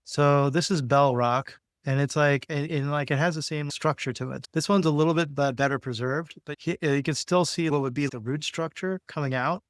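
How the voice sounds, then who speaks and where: A man explaining things casually, in an informal, halting way as he thinks aloud. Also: a little high, steady tone, no room sound, studio quality. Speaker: podcast co-host.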